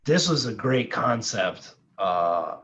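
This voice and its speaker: A man's voice: lowish voice, sing-song, slow pace, fairly bright tone. A man co-hosting a podcast with blunt, jokey, profane banter. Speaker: podcast co-host. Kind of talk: casual banter. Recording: muffled, noisy lo-fi mic.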